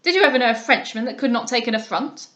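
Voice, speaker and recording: A woman voicing a rough, bullying middle-aged English sea captain who talks loud and blustering. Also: fairly high pitch, lively intonation, fast.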